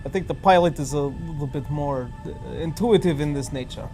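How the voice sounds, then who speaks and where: A man playing a tabletop role-playing game, speaking in a halting, hesitant way as he discusses with the group. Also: fairly high pitch, mellow. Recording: background music under the voice.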